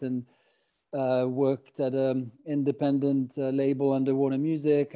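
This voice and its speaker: A man's voice: mid-range voice, dark tone. A middle-aged man from French-speaking Switzerland telling his own story as an interview guest, in a hesitant, reflective, conversational way. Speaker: guest, company founder.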